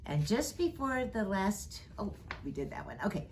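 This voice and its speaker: A woman reading a children's storybook aloud in an expressive storytime style. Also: mid-range voice, a little hiss or hum. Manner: slow, expressive, rhyming read-aloud delivery. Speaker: storyteller reading as Mrs. Claus.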